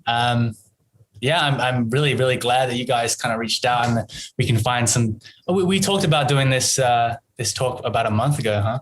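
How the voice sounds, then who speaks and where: A man in his thirties with an Australian accent, a podcast guest telling his story in a relaxed, rambling way, full of ums and you-knows. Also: mid-range voice, moderate pace, slight background noise, low-quality recording. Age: 30s. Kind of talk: casual interview chat.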